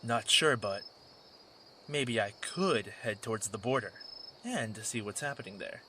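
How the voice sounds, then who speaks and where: A man's voice: on the low side, expressive, unhurried, bright, forceful tone, slight background noise. A man playing a cocky wolf drifter, a former warrior, in an audio drama, with a sarcastic, casual delivery. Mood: wry.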